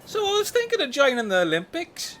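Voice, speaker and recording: A man's voice: very high voice, huge pitch swings, fairly quick, fairly bright tone, soft background music, full character voice. A man voicing a naive, dim male islander in a comedy radio play. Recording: noisy bird and ambience sounds behind.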